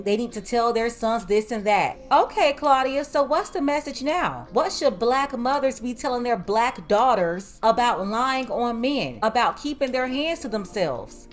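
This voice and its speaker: A woman online commentator who speaks in a mocking, sarcastic tone. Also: fairly high pitch.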